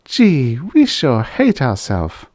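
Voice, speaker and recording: A man's voice: medium pitch, wildly swooping pitch, takes it slowly, a little mellow, no room sound, clean studio sound, voice pushed up, exaggerated voice. A man voicing a teasing, pert young wife in an audiobook story, with slangy, playful delivery.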